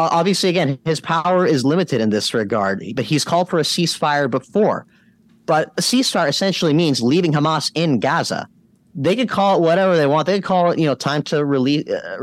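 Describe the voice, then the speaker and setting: A man's voice: a little high, no room sound. A man hosting a news commentary show, speaking in an emphatic, argumentative way and giving his opinions from loose notes.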